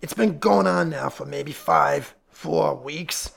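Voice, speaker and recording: Narrator guy as a gruff growly super, gravelly, blunt n slangy, detective story type. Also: a little high, sing-song, slow, fairly bright tone, heavily acted. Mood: indignant. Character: gruff, thick-set working-class male building superintendent, blunt and irritable.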